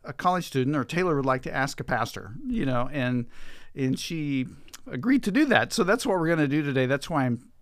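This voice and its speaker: Clear-voiced pastor hosting a podcast. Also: male voice, mid-range voice, expressive, a little fast, no echo, studio quality. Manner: informal, conversational. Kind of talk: conversational podcast interview.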